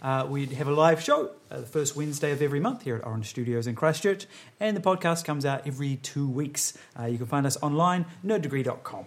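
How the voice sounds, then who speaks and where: A man's voice: on the high side, brisk. A man with a New Zealand accent hosting a live comedy panel quiz show. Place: live studio with audience. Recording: live show, loud crowd and noise.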